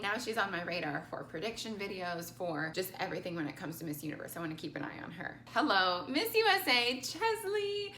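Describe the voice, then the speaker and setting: Young woman vlogger, bright and chatty, gushing over looks, slightly echoey room. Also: on the low side, a little hiss or hum. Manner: gushing, animated, with lots of "like" and "I loved". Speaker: pageant vlogger and coach. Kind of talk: casual chatty commentary to camera.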